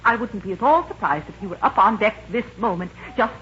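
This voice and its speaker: A woman's voice: medium pitch, lively intonation, a little fast, fairly bright tone, lightly acted. Middle-aged matchmaking mother, actress-played, knowing and lilting, period radio acting, dull old sound. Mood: cooing, knowing.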